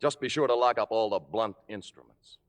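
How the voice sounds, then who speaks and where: An actor playing an indignant, blustering husband, emphatic and grumbling, in classic film drama style. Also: male voice, lowish voice, a little fast, lightly acted. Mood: angry. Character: indignant, blustering husband and playwright fed up with a star.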